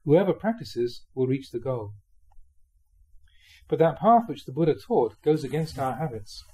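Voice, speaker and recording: Mellow man reading spiritual teachings aloud. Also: medium pitch, lively intonation, unhurried, breathy edge. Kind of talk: reading a dharma talk aloud with informal asides.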